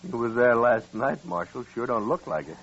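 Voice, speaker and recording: A man playing a wild, defiant frontier bridegroom in a radio western, husky, with folksy American country talk. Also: medium pitch, animated, moderate pace, a bit bright. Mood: rueful, defiant. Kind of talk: old-time radio western acting. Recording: muffled vintage radio recording.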